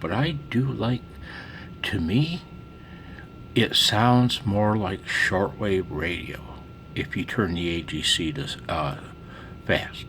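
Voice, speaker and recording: A man's voice: low-pitched, slow pace, pauses often, fairly bright tone, slight background noise. A man giving a casual hands-on radio tip in a salty, colloquial, folksy way.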